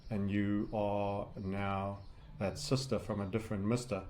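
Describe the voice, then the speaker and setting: A man's voice: lowish voice, little pitch movement, measured pace, a little mellow, slight background noise. A man talking through technical analysis off the cuff as a trading educator, rambling and heavy on jargon with colourful asides. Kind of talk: unscripted technical chart commentary.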